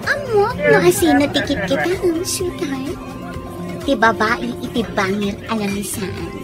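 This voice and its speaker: A coquettish, gossipy sweetheart in an Ilocano radio drama , played by an actress. Her high voice swoops widely and she draws out her words. Music plays beneath. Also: lively intonation, unhurried. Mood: gossipy, gleeful.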